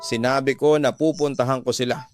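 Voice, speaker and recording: A Filipino man reading a dramatic first-person story in an earnest, deliberate way, phrase by phrase. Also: medium pitch, steady tone, music in the background. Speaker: radio drama storyteller reading a listener's letter. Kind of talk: dramatic scripted first-person narration, mixing Tagalog and English.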